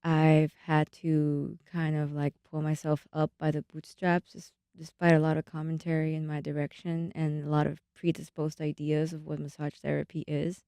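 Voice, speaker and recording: A woman's voice: low voice, little pitch movement, normal speed, few pauses, dark tone, smooth, no echo. A young woman, a storyteller, chatting earnestly in a radio interview with some hesitation. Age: young adult. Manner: hesitant, earnest, with fillers and restarts. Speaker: storyteller and massage studio owner. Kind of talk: casual interview chat. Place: radio studio.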